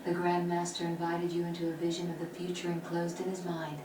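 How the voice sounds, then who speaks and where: Woman playing a calm sorceress, low and pretty flat, measured, game audio far off with noisy background. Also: lightly acted. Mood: composed. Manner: measured, composed. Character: calm female sorceress guide. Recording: game audio from far off in an echoey room, with noise.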